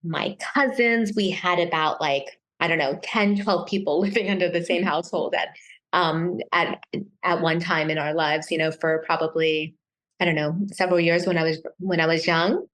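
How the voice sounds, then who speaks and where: A middle-aged woman born in Taiwan, talking casually as an interview guest, often pausing and restarting her sentences. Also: on the low side, fairly even intonation, fairly bright tone. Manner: hesitant, many restarts and fillers.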